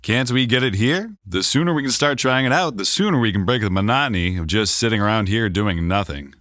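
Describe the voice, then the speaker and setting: A man's voice: on the low side, expressive, brisk, a bit bright, no room sound, studio quality. A man playing an eager, heroic young space hero in a 1930s radio drama. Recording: old radio recording with clear noise.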